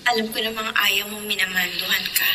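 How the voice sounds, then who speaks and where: A woman's voice: medium pitch, level tone, fairly quick, sharp tone. A Filipino woman acting in a radio drama as a pleading young woman. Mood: gentle, pleading. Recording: noisy background.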